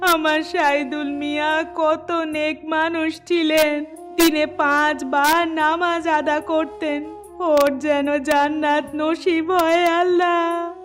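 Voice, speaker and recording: A woman voicing a grieving, pious village widow in a cartoon, crying and lamenting in a tearful, drawn-out way. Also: high-pitched, level tone. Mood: tearful remembering. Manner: slow, tearful, wailing lament. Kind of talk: cartoon voice acting. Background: music.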